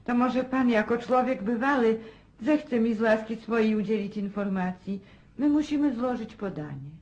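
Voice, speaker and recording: A woman's voice: a little high, normal speed, darkish tone, muffled recording, lightly acted. An actress playing a meek, distraught country mother in a radio play, pleading and plaintive. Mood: timid, pleading.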